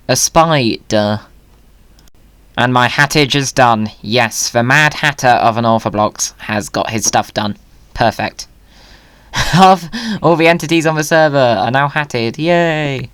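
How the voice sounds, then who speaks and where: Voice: medium pitch, fairly bright tone, clear, resonant tone, no echo. A whimsical, playful video host giving casual commentary and chat during a game.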